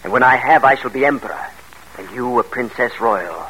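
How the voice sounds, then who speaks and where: A man's voice: mid-range voice, brisk, pushed and bright, close to an everyday voice. An actor in a classic radio drama playing a scheming, grandiose exiled father with a commanding, theatrical manner. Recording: noisy old radio drama recording.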